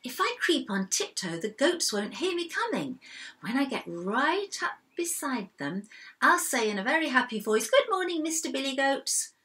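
A sly storybook troll performed by a woman, plotting with false cheer. The pitch swings widely and the tone is bright. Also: very animated, normal speed. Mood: scheming, falsely cheerful. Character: sly troll pretending to be nice and friendly.

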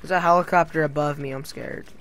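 A man's voice: on the high side, steady tone, fast, a bit bright. A young man hosting a casual gaming commentary, joking, swearing and slipping into silly put-on accents. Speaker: gamer channel host.

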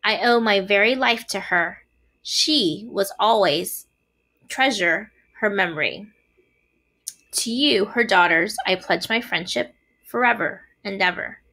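A woman's voice: medium pitch, slow, pushed and bright, exaggerated voice, low-quality recording. A woman voicing a young male pig in a storybook, earnest, polite and sentimental, anxious to have friends. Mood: devoted. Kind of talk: storybook character voice.

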